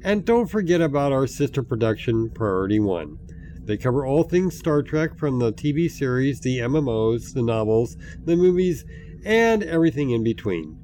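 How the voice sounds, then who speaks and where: Male co-host, middle pitch, easygoing, teasing, reads promos smoothly. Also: very animated, normal speed, dark tone, slight background noise. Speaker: podcast co-host. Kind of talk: scripted outro, casual chat.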